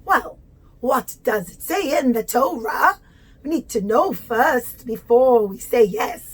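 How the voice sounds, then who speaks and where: A woman plays a stubborn puppet-show crowd spokesman for children's storytelling. The voice is high and loud against the narration, slow and emphatic. It is dismissive, with repeated refusals and little interjections. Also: expressive, husky, full character voice. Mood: wary, questioning. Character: stubborn, dismissive foreign nations refusing an offer.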